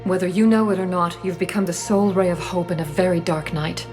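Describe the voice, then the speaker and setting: Casting: actress for stately alien envoy, lowish female voice, formal and measured, quietly pleading, set to prominent game score. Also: soft background music, close to an everyday voice. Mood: grave, earnest. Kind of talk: cinematic sci-fi game dialogue.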